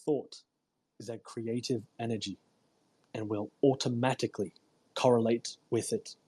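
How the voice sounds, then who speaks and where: A man reads aloud as host in a husky, unpolished voice at a medium pitch. His delivery is deliberate and emphatic, somewhere between audiobook narration and informal commentary. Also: steady tone, warm tone. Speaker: host reading a self-help book aloud. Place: live online audio room broadcast.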